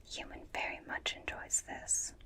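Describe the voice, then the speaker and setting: Slow whispering alien examiner, played by a woman. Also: close to an everyday voice. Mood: soothing, curious. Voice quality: soft breathy whisper. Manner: slow, soothing, repetitive, clipped detached phrasing. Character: gentle, clinical, curious alien examining a human. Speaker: ASMR performer. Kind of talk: ASMR roleplay, personal attention. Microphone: close binaural microphone.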